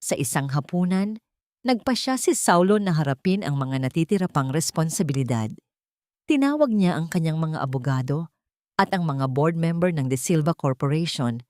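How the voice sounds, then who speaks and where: Female Filipino storyteller, lower register, scripted drama narration, dry studio-like sound. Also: lively intonation, moderate pace, a little mellow, no room sound. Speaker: audiobook storyteller.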